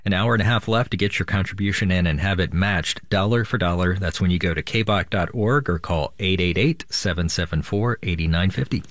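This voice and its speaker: A man radio host making a brisk, upbeat pledge-drive appeal into a studio microphone. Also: low-pitched, a bit bright, no room sound.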